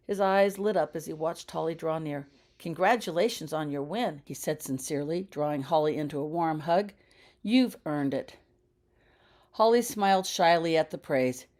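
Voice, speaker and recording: A woman's voice: sits low, darkish tone. A woman reading her own fiction aloud as scripted audiobook narration.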